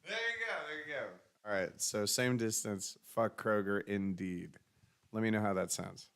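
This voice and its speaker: A man with a deep, bassy voice, co-hosting a casual stream chat in a loose, joking way. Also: expressive, moderate pace, creaky, a bit bright. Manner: loose, joking, talks about mic setup. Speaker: stream co-host.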